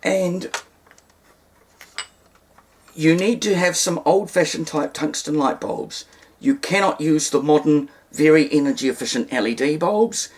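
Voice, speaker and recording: A man's voice: on the high side, unhurried, pauses often, darkish tone. A man giving an informal, hands-on demonstration, explaining things step by step in a somewhat hesitant way. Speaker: hobbyist presenter demonstrating radio repair.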